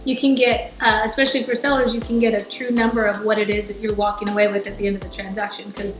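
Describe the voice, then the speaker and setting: A woman's voice: medium pitch, flat delivery, fast talker. An American woman co-hosting a casual interview in an upbeat, agreeable, friendly way. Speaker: co-host, realtor. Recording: roomy echo, faint music behind.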